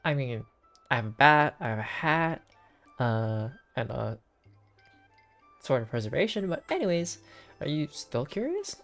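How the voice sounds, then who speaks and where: A woman with a low voice does playful game commentary. Her delivery is theatrical and very animated as she reads dialogue aloud, with faint music underneath. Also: a little slow, pauses often. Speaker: game streamer.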